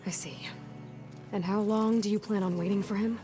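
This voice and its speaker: A woman's voice: mid-range voice, steady tone, moderate pace, over music, lightly acted. A woman playing a practical young heroine in a video game cutscene, direct, dry and skeptical in manner. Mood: dry, questioning.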